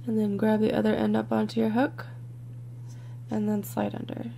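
A woman with a croaky, congested voice leads a step-by-step craft tutorial in a relaxed, chatty way, sometimes dropping to a near-whisper as she counts stitches. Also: mid-range voice, moderate pace, slight background noise. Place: indoors at a worktable, overhead camera on hands.

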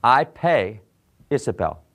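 Very slow, animated male English teacher. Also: medium pitch. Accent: American. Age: 40s. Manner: deliberate, enunciated. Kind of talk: slow scripted language lesson with simple modelled sentences. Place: small classroom set, indoors.

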